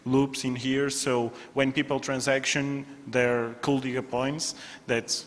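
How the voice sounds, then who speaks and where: A man's voice: mid-range voice, flat delivery, slow. A man presenting a student project at a conference, speaking evenly with some hesitant fillers. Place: conference hall. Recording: long hall reverb.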